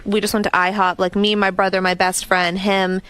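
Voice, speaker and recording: A woman's voice: medium pitch, level tone, a little fast, a bit bright, clean tone. A woman in her twenties, a guest on a radio talk show, chatting casually and giggling, with lots of "like" fillers. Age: 20s. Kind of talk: casual chat.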